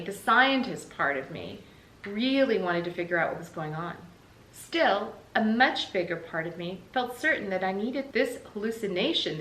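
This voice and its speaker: A woman's voice: medium pitch, fairly bright tone, far from the mic. A woman with an American accent reading a children's book aloud as a teacher would. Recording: roomy, noticeable background hiss.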